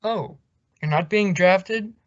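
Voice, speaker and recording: A man's voice: sits high, takes it slowly. A man playing a hearty, stingy, patronizing boss in an old-time radio sitcom, with an effusive, blustery manner. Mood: deflated, stingy. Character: Mister Atterbury, hearty, stingy male boss. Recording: dull lo-fi old radio recording.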